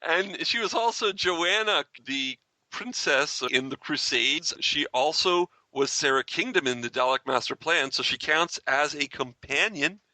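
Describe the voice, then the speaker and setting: A man's voice: fairly high pitch, a little slow, pushed and bright, no echo, poor audio. A man chatting casually as a panelist on a fan podcast.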